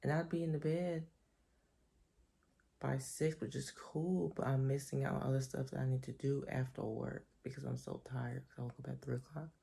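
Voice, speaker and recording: Sleepy deep-voiced woman, gravelly. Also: level tone, moderate pace. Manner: reflective, rambling, soft-spoken. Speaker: vlogger. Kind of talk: casual chat to camera. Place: top-floor apartment at home. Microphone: camera. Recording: echoey room.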